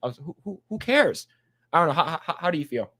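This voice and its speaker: A man's voice: a little high, very animated, fairly quick, a bit bright. A man hosting a casual podcast, reading an article aloud and chatting with many restarts and fillers. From Chinese background. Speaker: podcast host.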